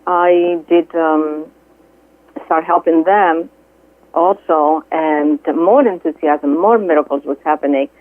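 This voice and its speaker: A middle-aged woman from Greece with a Greek accent, a guest speaking earnestly and haltingly, with many fillers, over the phone. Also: low voice, no echo. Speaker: guest, Reiki healer. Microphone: telephone. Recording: tinny dry phone line.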